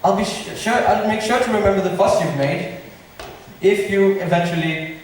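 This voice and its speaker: A man's voice: high-pitched, moderate pace, natural voice. A man acting a confident, genial noble prince in an amateur stage comedy, speaking in a declamatory way. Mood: amused, assured. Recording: reverberant hall, distant mic, noisy.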